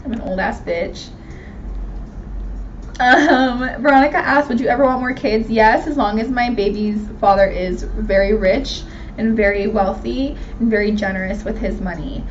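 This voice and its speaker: American girl late 20s doing a chatty q&a vlog, kinda high voice, slangy, dull lofi audio w some background noise. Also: moderate pace. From Miami, Florida. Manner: candid, slangy, swearing, self-mocking. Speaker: video host answering viewer questions.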